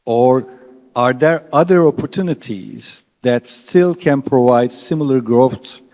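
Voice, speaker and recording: A man's voice: medium pitch, darkish tone, big-room sound, poor audio. A man on an investor panel, explaining his fund's strategy earnestly with slightly non-native phrasing. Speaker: fund manager panelist. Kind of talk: panel discussion.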